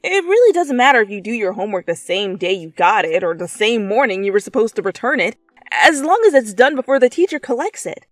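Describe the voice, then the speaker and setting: Expressive woman reading a story, close and dry. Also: a little high, brisk, no room sound. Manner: theatrical, expressive. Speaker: fan fiction reader. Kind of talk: dramatic storytelling narration in second person.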